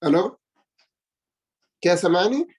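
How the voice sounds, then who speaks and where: A man's voice: high voice, lively intonation, unhurried, a little mellow. A man with an Egyptian Arabic accent hosting a podcast, courteous and searching for words, speaking into a phone. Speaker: podcast host. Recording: dull phone audio.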